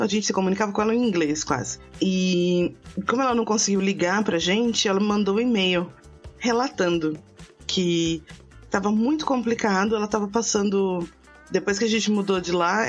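A woman in her thirties tells a rambling podcast story at an easy middle pitch, circling back and restarting her sentences as she goes. Faint music sits low under her voice. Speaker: podcast host. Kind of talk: casual storytelling chat.

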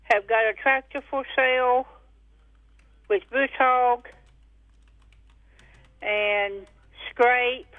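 Very high-voiced man, slow, on muffled phone. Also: fairly even intonation, no echo. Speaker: caller to radio swap show. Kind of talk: plain listing of items and phone numbers. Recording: muffled, lo-fi phone call on radio.

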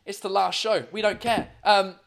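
Rowdy, laughing livestream quiz host. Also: male voice, high-pitched, level tone, moderate pace, creaky, crowd noise. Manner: loud, laughing, swearing, rambling with fillers. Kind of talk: casual livestream chat with viewers.

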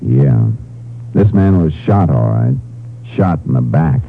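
Deep-voiced, no-nonsense Texas Ranger, old radio. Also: male voice, lively intonation, slow, vocal fry, exaggerated voice. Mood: grim, steady. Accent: American. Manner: clipped, matter-of-fact, authoritative. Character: firm, terse male Texas Ranger investigator. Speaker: lead actor of a radio crime series. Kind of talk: 1950s American radio drama acting. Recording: muffled, noisy old radio broadcast recording.

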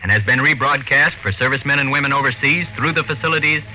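A male announcer reads a formal 1940s radio notice. His tone is very forceful and bright at a medium pitch. The old recording is dull, with faint music behind. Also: fast.

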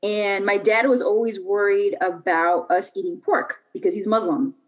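A woman's voice: medium pitch, fairly even intonation, normal speed, low-quality recording. A young adult woman from Puerto Rico, a podcast guest telling her life story in a casual, chatty way with lots of fillers and restarts.

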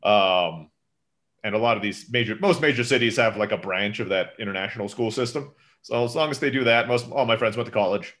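Fast-talking man, laughing easily. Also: on the low side. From Turkey. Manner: fast, animated, laughs often. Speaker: podcast guest. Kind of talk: casual interview chat.

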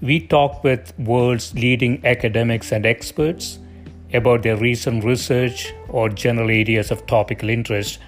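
Man hosting a podcast, halting. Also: mid-range voice, fairly even intonation, soft background music. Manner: hesitant, many fillers in conversation. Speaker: podcast host. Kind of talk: scripted intro then casual interview.

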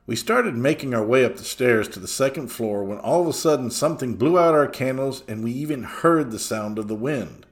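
A man narrates a scripted eerie story as its reader. His voice sits at an average male pitch, and it moves in a very animated way across phrases.

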